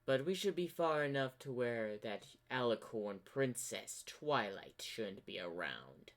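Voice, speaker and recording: An ancient corrupted zebra spirit, acted out by a man for a fan cartoon, sly and manipulative. He drawls at a very unhurried pace, theatrical and teasing, as if bored by everyone. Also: medium pitch, animated, a bit bright. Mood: amused, musing. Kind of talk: fan-made fantasy cartoon voice acting.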